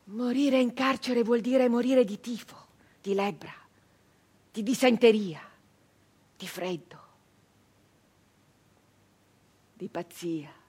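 An actress playing a fierce, embittered nineteenth-century brigand woman in an intense, declamatory theatrical monologue with dramatic pauses. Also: on the high side, breathy edge, full character voice. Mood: hushed, bleak. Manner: intense, declamatory, with slow pauses and hushed drops. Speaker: stage actress.

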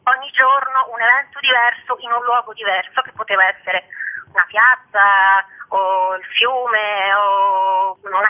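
Woman interviewed over a tinny phone line. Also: mid-range voice. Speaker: festival organiser, interview guest. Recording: tinny, narrow telephone line.

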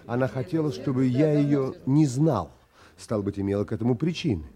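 An actor playing an earnest, composed gentleman hero who narrates a seafaring audio play in an expressive, theatrical way. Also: medium pitch, brisk, crowd noise, close to an everyday voice. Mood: reflective, grave.

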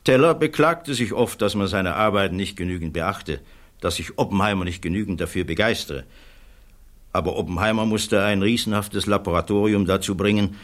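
An actor playing a thoughtful physicist giving measured, earnest testimony in a German radio play. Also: male voice, on the low side, natural voice. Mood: candid, assured. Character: thoughtful male physicist witness, earnest, troubled by conscience.

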